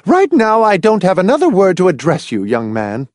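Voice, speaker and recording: Man acting a high, prim, scolding mother. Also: sing-song, moderate pace, dark tone, no room sound, clean studio sound. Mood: tight anger. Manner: reproving, tight, indignant. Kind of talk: audiobook character voice.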